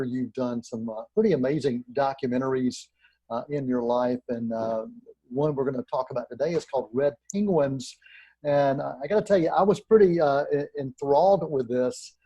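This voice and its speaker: A man's voice: mid-range voice, normal speed, mellow, husky, no echo, dull audio. A man hosting a movie show, introducing and questioning his guest in a friendly, hesitant way with many "uh"s. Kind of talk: hosting an interview.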